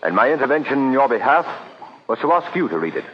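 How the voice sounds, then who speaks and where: An actor playing a bold, principled revolutionary pamphleteer in an old-time radio drama, firm and declamatory. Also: male voice, mid-range voice, sing-song, fast talker, natural voice. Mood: firm, defiant. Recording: dull old radio recording, faint noise.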